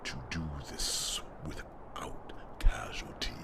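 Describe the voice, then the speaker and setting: A man voicing an ancient, wise male dragon who protectively mentors the listener, speaking in a slow, solemn whisper for a fantasy roleplay. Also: natural voice.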